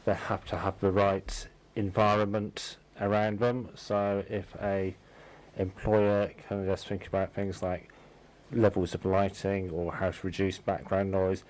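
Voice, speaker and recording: A man explaining in measured short phrases as a guest in a conversational radio interview. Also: low-pitched, little pitch movement, a little mellow, low-quality recording.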